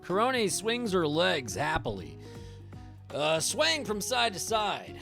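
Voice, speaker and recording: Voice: mid-range voice, wildly swooping pitch, slow, creaky. A streamer playing a visual novel, chatting casually and reading game text aloud in a playful, joking way, often repeating phrases. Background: game music.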